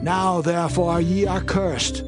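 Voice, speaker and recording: Male actor as stern Israelite commander, high-pitched, declamatory, authoritative, Bible audio drama, music bed. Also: fairly even intonation, a little slow. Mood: indignant, condemning.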